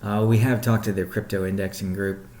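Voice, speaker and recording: A man leading an informal business update call as a chief executive, explaining things in a measured way with pauses mid-sentence. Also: lowish voice, flat delivery, darkish tone. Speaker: company CEO hosting a weekly update call. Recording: roomy, echoing sound.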